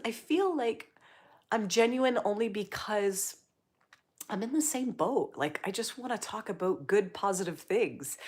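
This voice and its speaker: Woman, livestream host, slightly low voice, chatty and encouraging. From Canada. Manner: chatty, upbeat, encouraging, reads viewer comments aloud. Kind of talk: casual livestream chat reading comments.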